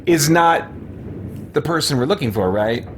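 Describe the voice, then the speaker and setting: A middle-aged man giving casual live let's-play commentary, musing and thinking aloud in halting asides. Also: on the high side, expressive, background noise. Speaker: game streamer. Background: game music.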